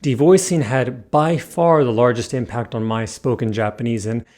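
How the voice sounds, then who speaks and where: A man narrating a scripted pronunciation lesson, explaining clearly and demonstrating sounds with care. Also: mid-range voice, moderate pace, darkish tone. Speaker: language teacher.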